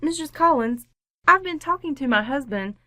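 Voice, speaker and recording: Suburban wife for radio comedy, played by a woman: higher-pitched, firm and polite, with cool sarcasm, close dry sound. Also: animated, normal speed, pushed and bright, no room sound. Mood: coolly amused. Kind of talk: old-time radio sitcom acting.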